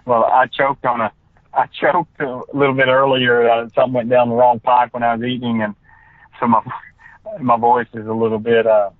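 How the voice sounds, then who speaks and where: A tired man with a raspy voice, speaking halting and earnest, with many fillers, as a guest. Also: mid-range voice, little pitch movement, no echo. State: tired, late at night. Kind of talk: casual conversation. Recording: tinny phone line.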